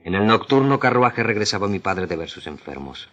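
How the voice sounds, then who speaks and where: A man with a very low, forceful voice recites literary prose like a trained radio actor. His delivery is solemn and measured, broken by deliberate pauses. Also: very animated, highs cut off. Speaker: radio drama actor reading literary excerpts.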